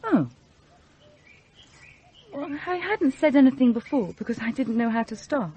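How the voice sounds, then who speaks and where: Young English lady, radio play, high voice, soft-edged and dark, lively intonation, romantic, hesitant. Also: normal speed, slightly rough, full character voice. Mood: hesitant, wistful. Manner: hesitant, self-conscious, earnest. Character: poised but insecure, romantic upper-class young woman.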